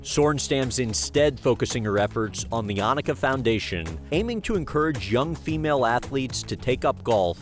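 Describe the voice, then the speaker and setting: A man narrating a sports documentary in a scripted, measured voice-over. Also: mid-range voice, animated. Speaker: host, narrator. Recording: voice-over with music bed.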